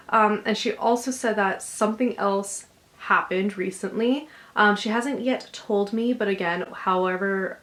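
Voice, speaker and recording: Casual host, young woman, talks loose and gentle with plenty of filler. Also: mid-range voice. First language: English. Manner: chatty, filler-heavy, gently reassuring. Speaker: channel host. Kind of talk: casual chat, reading subscriber stories.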